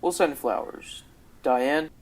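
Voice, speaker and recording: A man's voice: on the high side, slow pace. A teenage boy playing a troubled, pleading company boss and devoted husband in a radio drama. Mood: shocked. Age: teens, high school student.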